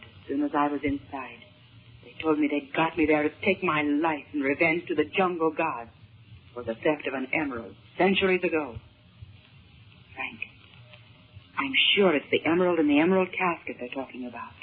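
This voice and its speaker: An actress playing a frightened, gentle mother held captive, in an earnest, dramatic 1930s radio serial style. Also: sits low, normal speed, long pauses, slightly rough. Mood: anxious recounting. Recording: muffled, tinny 1930s radio recording.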